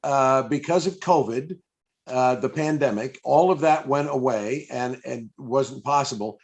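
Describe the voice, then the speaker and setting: A man's voice: mid-range voice, measured pace. A man hosting a live interview over a video call, effusive and often repeating and restarting his phrases. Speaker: interviewer and event host. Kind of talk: live interview for an old-time radio fan event.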